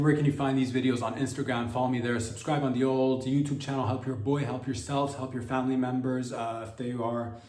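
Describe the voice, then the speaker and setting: A man's voice: medium pitch, darkish tone. A man hosting a comedy podcast, rambling through a loose monologue full of restarts and asides. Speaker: podcast host. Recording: roomy, distant from mic.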